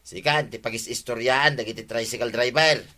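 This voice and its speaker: An actor plays a village husband with an Ilocano accent, chiding but mild. His mid-pitched voice is bright and forward, in the style of a radio comedy drama. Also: a little fast, natural voice.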